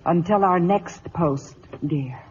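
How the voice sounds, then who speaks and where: An actress playing a feisty, tender cavalry wife in a 1950s radio drama. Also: female voice, lowish voice, slow, a little mellow. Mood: firm, tender. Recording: muffled, noisy vintage radio broadcast.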